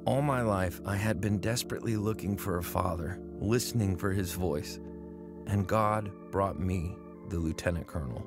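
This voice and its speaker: A man's voice: sits low, moderate pace. A man earnestly narrating his own life story in first person for a radio drama. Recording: music bed under the voice.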